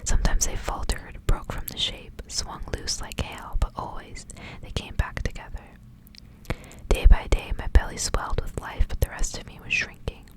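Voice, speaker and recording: A woman's voice: normal speed, poor audio. Whispering woman reading a story. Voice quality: breathy, unvoiced whisper. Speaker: ASMR reader.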